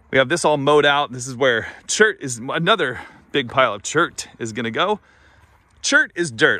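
A man's voice: mid-range voice, pushed and bright, no echo. Dry-joking man vlogging outdoors. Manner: dry, joking, self-mocking. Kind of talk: casual vlog narration. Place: outdoors on a farm. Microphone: phone camera held at arm's length.

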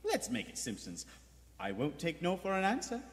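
A man's voice: a little high, huge pitch swings, moderate pace. A man playing a jaunty, charming greengrocer in a stage radio play. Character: Ted, jaunty, charming young male greengrocer detective. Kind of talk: vintage radio play acting. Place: theater stage before a live audience. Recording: echoey theater stage, music behind.

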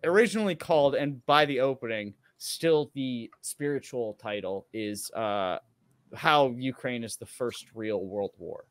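A man's voice: medium pitch, measured pace, dry recording. A man, around his thirties, co-hosting a talk show, reading an article aloud with casual, sardonic asides. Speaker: show co-host. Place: indoors at home on a video call.

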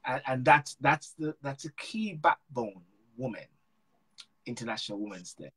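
A man's voice: mid-range voice, animated, moderate pace, a bit bright, breathy edge. A man hosting a talk show over a video call, speaking hesitantly with frequent restarts and agreeable affirmations. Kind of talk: casual talk-show chat.

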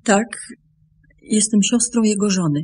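A grave, composed bearer of a family secret in a radio drama. She speaks in a husky, mid-pitched voice, measured and broken by careful pauses. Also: a little mellow. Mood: grave, reluctant. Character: grave, composed woman confessing her dead sister's secret. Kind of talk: radio play acting.

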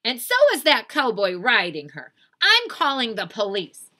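An indignant, bossy sister from a children's storybook, acted out by a woman reader. She is loud and forceful, snapping out commands with heavy emphasis and a wide, dramatic pitch swing. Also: sing-song, a little slow, heavily acted.

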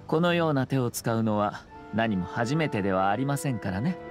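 An actor playing a calm, courteous, scheming young nobleman in an anime game, speaking in a measured, confident way. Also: mid-range voice, lightly acted. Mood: composed, sly. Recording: music behind the voice.

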